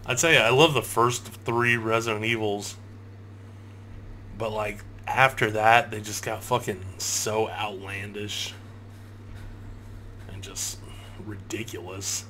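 A man's voice: lowish voice, steady tone, slow, long pauses, pushed and bright, slight background noise. A man doing casual let's play commentary, rambling with heavy swearing and sarcasm. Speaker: gaming video host. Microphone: close gaming microphone. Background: game audio.

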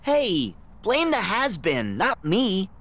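A man's voice: high-pitched, huge pitch swings, slow, fairly bright tone, heavily acted. A man playing an earnest, plucky teenage boy hero in a video game, blunt and casual in manner. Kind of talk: video game cutscene acting.

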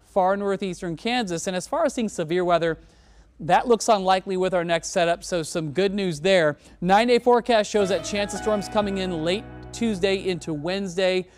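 A man in his thirties presenting a TV weather forecast in a studio, talking in a relaxed, conversational way on a lapel mic. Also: sits high, normal speed, faint music behind. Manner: relaxed, conversational delivery with casual words. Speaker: TV meteorologist. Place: TV studio in front of weather graphics.

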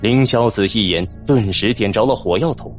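A man's voice: lowish voice, normal speed. A man reading a fantasy novel as a dramatic audiobook narrator. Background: music.

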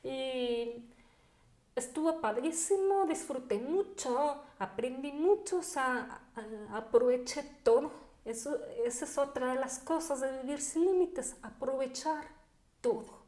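High-pitched Mexican woman in an echoey room. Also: measured pace, a little mellow. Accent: Mexican Spanish. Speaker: podcast guest. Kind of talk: conversational interview, personal storytelling. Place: indoor dining room. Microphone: podcast microphone on table stand.

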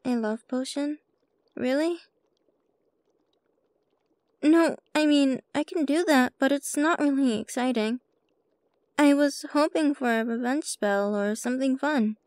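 A woman playing a sly, playful witch shopkeeper with a soft heart in an ASMR roleplay, coy and teasing as she addresses the listener. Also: high-pitched, no echo. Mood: teasing, bargaining. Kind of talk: ASMR roleplay, scripted character monologue.

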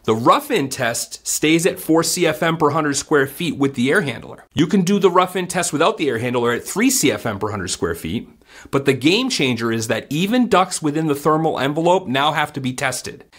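A man's voice: a little high, expressive, normal speed. A man in his forties hosting a scripted explainer vlog to camera from a home studio, in a punchy, emphatic presenter style. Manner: upbeat, punchy, emphatic presenter delivery with hand gestures. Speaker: HVAC educator and channel host. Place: home studio room, indoors.

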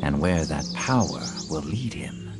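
An actor playing a calm, knowing, enigmatic ally in a game cutscene, measured and cryptic. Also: male voice, a bass voice, loud music behind the voice, heavily acted. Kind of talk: dramatic game cutscene acting.

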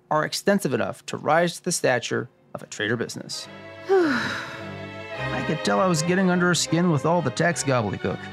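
A man's voice: high voice, gravelly. A man playing a wry, wordy tax sleuth who narrates his own thoughts in a noir radio drama pastiche. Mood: expository. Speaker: host and narrator.

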